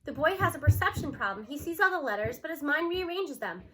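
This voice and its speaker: A gruff older male schoolteacher from a storybook, played by a woman reader with a bright voice. He is stubborn and sarcastic. His words come out formal, curt and dry. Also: high voice, animated, fast talker. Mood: dry, earnest.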